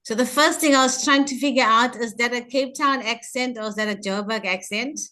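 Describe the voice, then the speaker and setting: A woman from Africa, from banking, asking a panelist a chatty, deliberate question and laughing easily. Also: on the high side, sharp tone. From South Africa.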